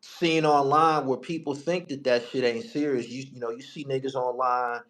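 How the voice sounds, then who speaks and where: A man's voice: mid-range voice, fairly even intonation, normal speed, fairly bright tone. A middle-aged man hosting a casual talk, profane and emphatic, hammering phrases by repeating them. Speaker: podcast host. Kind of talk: casual street-slang talk.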